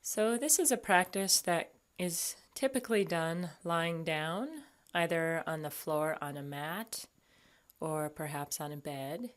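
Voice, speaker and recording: A woman guiding a meditation as an instructor, speaking gently and without hurry, leaving long pauses. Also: deep voice. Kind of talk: guided body scan meditation.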